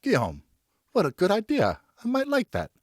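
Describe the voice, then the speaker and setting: A man voicing a gentle, genteel, loving wife and mother in an audiobook story. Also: high-pitched, huge pitch swings, normal speed, no echo, studio quality, pitched above the performer's own voice, exaggerated voice. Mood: tender.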